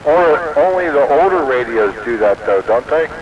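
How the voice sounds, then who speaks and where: A man's voice: on the high side, animated, normal speed, crowd noise. A man chatting casually as an operator over CB radio. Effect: CB radio transmission. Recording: muffled, very noisy radio signal.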